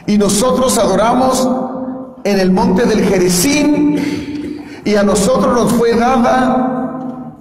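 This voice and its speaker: A man's voice: very high voice, slow pace, pauses often, darkish tone. A man preaching a sermon, asking the congregation questions and having them repeat lines after him. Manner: asks the congregation to repeat and answer. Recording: echoey hall with long reverb.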